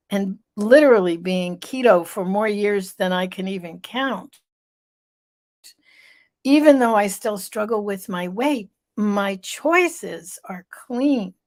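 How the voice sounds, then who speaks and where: A middle-aged woman hosting a live vlog, telling a personal story with emphatic repetition, her voice stuffy and a little off. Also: mid-range voice. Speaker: vlog host.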